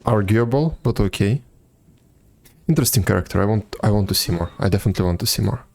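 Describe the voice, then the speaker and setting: This is a man live-streaming a game in a very low voice with plenty of creak. He comments casually and drily, now and then reading the dialogue out in an offhand way. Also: lively intonation, fast talker, darkish tone.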